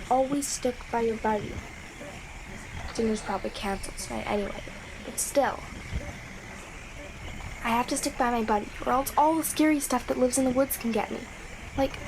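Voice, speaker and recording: A woman playing a young girl scout alone in a radio drama, childlike and earnest, anxious but determined. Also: high voice. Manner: childlike, earnest, self-reassuring. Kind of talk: audio drama monologue as radio transmission. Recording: clearly noisy radio transmission with ambience.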